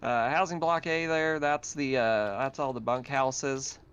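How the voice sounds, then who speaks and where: A man's voice: mid-range voice, fairly even intonation, a little slow, fairly bright tone, smooth. A man working as game master, informally narrating a tabletop roleplaying game over an online call. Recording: slightly dull online voice-call audio.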